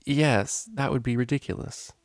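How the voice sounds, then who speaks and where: Man voicing a prim, proud mare, pitched up. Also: no echo, clean studio sound, lightly acted. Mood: guarded, polite. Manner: formal, measured, articulate. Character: prim, proud grey mare cellist, young student.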